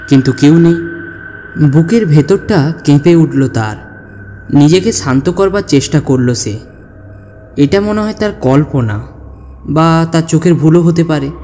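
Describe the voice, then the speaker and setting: A man's voice: on the high side, a little mellow. A man reading a scripted ghost story as narrator, with dramatic, suspenseful delivery. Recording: long hall reverb, music underneath. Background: music.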